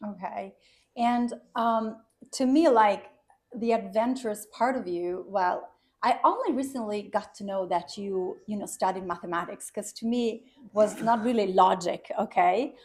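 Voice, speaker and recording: A woman's voice: medium pitch, unhurried. A woman with an Italian accent, a native Italian speaker, hosting a podcast interview in a chatty, loose way with frequent restarts. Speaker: podcast host. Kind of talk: casual interview chat.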